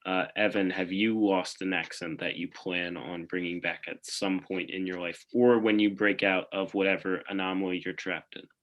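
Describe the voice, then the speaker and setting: A man's voice: low voice, fairly even intonation, moderate pace, few pauses, fairly bright tone, lo-fi sound. A man co-hosting a podcast in casual chat, thinking aloud and often hedging what he says. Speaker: podcast co-host.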